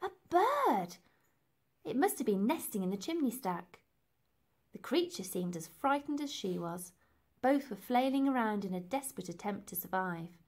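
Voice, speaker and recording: A woman's voice: medium pitch, sing-song, moderate pace. A woman reading a children's story aloud as narrator, with dramatic, emphatic phrasing. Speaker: storybook reader.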